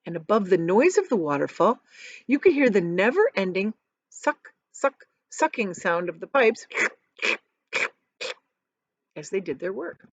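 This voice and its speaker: Woman, storybook read-aloud, very animated intonation, teacherly explanations, emphatic descriptive words, dry slightly dull recording. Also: medium pitch, normal speed, no echo. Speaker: teacher reading aloud to children. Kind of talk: expressive storybook read-aloud with casual asides.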